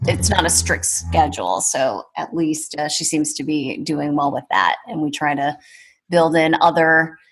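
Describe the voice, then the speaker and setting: A woman in her thirties talking casually as a podcast guest in a measured, thoughtful way. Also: deep voice, breathy edge, poor audio. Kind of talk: casual conversation.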